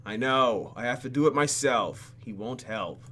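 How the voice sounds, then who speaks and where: A man hosting a let's play, reading game text aloud and adding sarcastic, animated asides. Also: mid-range voice, unhurried. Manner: animated, sarcastic, exclaims and mutters. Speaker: YouTube gaming host.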